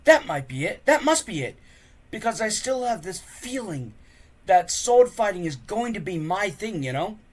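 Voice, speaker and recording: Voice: medium pitch, sing-song, moderate pace, voice pushed up. A reader voicing an earnest, eager, hapless boy hero in a children's storybook, with a raised voice. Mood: eager, hopeful.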